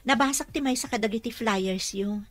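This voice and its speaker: A woman's voice: fairly high pitch, normal speed, a bit bright, soft background music, lightly acted. A woman playing a caring but frank single mother and nurse in an Ilocano radio comedy-drama. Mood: polite, frank.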